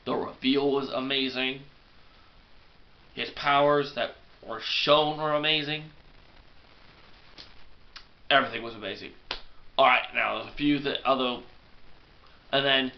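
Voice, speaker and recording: Excitable young man with a cold. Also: mid-range voice, muffled recording. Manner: excitable, rambling, self-deprecating. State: congested with a cold. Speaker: movie review vlogger. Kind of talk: casual rambling film review. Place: small bedroom at night.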